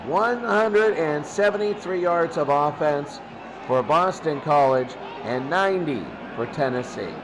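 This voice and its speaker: A man's voice: fairly high pitch, animated, unhurried. A man calling college football on the radio, giving plays and stat recaps. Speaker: play-by-play radio sports announcer. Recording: clear background noise.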